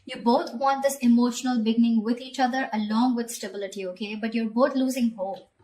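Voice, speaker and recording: A woman's voice: fairly high pitch, fairly even intonation, fairly quick, warm tone, slight background noise. A woman giving a conversational tarot reading straight to the viewer, often repeating phrases and checking in with short tag questions. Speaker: tarot reader.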